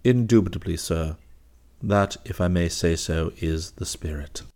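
A man voicing a deferential, unflappable middle-aged manservant who speaks in a grave, measured, soft way. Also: low-pitched, creaky, voice pushed down.

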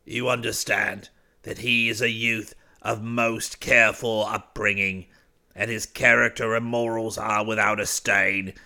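A man voicing a fussy, prim old doctor, pompous and moralising, with a creaky, strained voice in a storybook reading. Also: lowish voice, level tone, measured pace, sharp tone, exaggerated voice. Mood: solemn, prim.

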